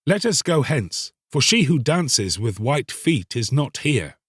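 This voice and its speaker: Man acting young fisherman, earnest, troubled, swooping intonation, slightly husky, archaic storybook speech. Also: medium pitch, very animated, slow pace, a bit bright, no room sound, clean studio sound, voice pushed up, exaggerated voice. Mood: uneasy. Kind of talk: dramatised storybook reading.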